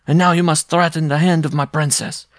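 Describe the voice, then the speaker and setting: A man reading a story aloud, voicing a tiny, proud and jealous circus dwarf in a shrill, pompous, impassioned voice. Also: fairly even intonation, fairly quick, fairly bright tone, no room sound, studio quality, lightly acted. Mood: scolding.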